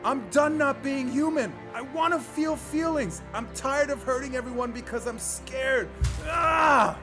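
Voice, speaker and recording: A man plays an anguished teenage boy in a fantasy audio drama. His voice is extremely high and impassioned, crying out over loud music. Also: unhurried. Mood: anguished, defiant. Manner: impassioned, shouted outbursts.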